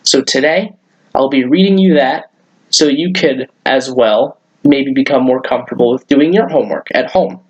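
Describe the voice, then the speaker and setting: A man's voice: medium pitch, normal speed, slightly rough, highs cut off. A man hosting a children's story time, talking to young listeners and reading a story aloud.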